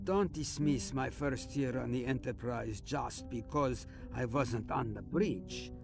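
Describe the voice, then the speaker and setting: A jovial veteran starship captain in a sci-fi audio drama, acted by a man. He is an older man with a creaky, mid-pitched voice and wry, theatrical bluster. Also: slow pace, music in the background. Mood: mock indignant. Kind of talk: science fiction audio drama acting.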